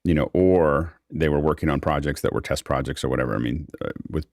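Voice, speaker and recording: A man's voice: extremely low pitch, fast talker, a little mellow, no echo, studio quality. A man hosting a casual panel discussion, giving rambling, anecdotal explanations as moderator. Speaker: host and moderator.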